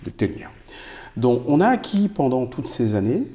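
Male CEO guest, relaxed dark tone, very varied intonation, emphatic repetition. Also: mid-range voice, moderate pace. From Africa. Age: middle-aged. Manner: emphatic, repeats key phrases. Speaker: company CEO, interview guest. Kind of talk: explanatory interview answers. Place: indoor showroom with product shelves.

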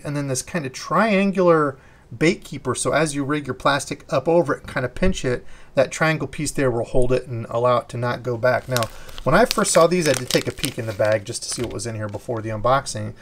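A man's voice: mid-range voice, slight background noise. A young man hosting his fishing channel, chatting with enthusiasm as he unboxes and shows lures indoors. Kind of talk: casual unboxing chat.

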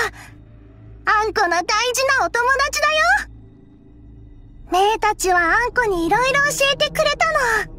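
A woman's voice: very high voice, pushed and bright, heavily acted. A woman voicing a cheerful, playful little girl from an anime video game, with a cutesy, childlike way of talking. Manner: bubbly, refers to herself by name in childish speech. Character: cheerful, sweet, playful little girl adventurer with sheep companions. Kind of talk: cute Japanese anime game voice acting. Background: background game music.

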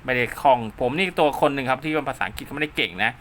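Male DIY tutorial host: bright mid-pitched voice, informal and repetitive, throws in spoken sound effects, slightly noisy recording. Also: rapid-fire. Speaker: host of a DIY radio repair channel. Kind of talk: informal hands-on tutorial, talking while drawing diagrams.

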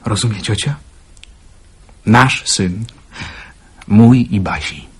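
Low, husky wartime lover. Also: male voice, takes it slowly, full character voice. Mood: earnest. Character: earnest, teasing man in love, wartime suitor. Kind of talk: radio drama acting.

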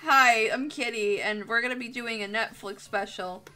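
Young woman streamer, bright voice, crude banter. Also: fairly high pitch, moderate pace, poor audio. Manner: crude, sweary, jokey banter. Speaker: live streamer. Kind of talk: casual livestream chat while drawing.

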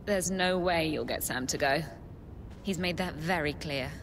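A sardonic woman in a zombie game. Her voice sits fairly low and her delivery is dry and doubting. A faint rumble lies underneath. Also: a little slow. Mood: dismissive. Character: jaded, sarcastic adult woman, zombie-apocalypse survivor. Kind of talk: video game voice acting.

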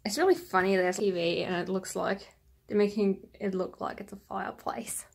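A young woman chatting casually to her viewers as a travel vlogger, with a husky voice. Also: medium pitch, fairly even intonation, normal speed. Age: young adult. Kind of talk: casual vlog chat to viewers. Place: small hotel room. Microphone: handheld camera at arm's length.

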